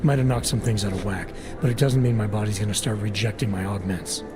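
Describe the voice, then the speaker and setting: An actor playing a gruff, guarded augmented agent in a video game, terse and deadpan with a gravelly voice. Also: male voice, lowish voice, fast, over music, lightly acted. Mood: skeptical, dry. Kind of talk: video game cutscene acting.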